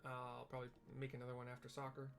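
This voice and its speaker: A man's voice: mid-range voice, completely flat delivery, very fast, soft background music. A man casually narrating a video game playthrough, describing the scene in an informal, explanatory way.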